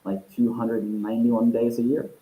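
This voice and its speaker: A man's voice: medium pitch, little pitch movement, phone-quality sound. Man on an echoey call. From Norway. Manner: thoughtful, with restarts and drawn-out phrases. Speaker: tech company CEO guest. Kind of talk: casual interview over a call. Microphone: video call.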